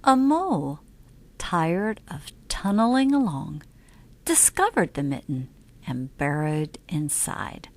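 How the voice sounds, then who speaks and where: A woman reading a children's picture book aloud in an expressive, measured storytelling style with emphatic phrasing. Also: low-pitched. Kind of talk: expressive scripted storybook narration.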